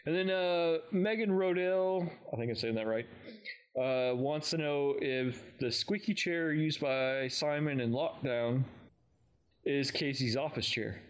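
A man's voice: fairly high pitch, a little slow, clean tone. Male fan podcast co-host, casual chat.

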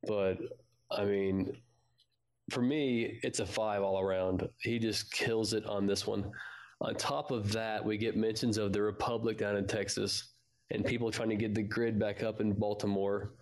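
A man's voice: on the low side, little pitch movement, normal speed. A man co-hosting a fan podcast, talking casually about a show. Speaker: podcast co-host.

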